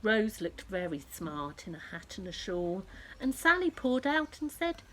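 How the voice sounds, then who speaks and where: A woman reading a picture book aloud to young children, speaking directly to them in an expressive storytelling style that often drops to a hush. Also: medium pitch, measured pace, rough recording. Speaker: children's story reader and presenter.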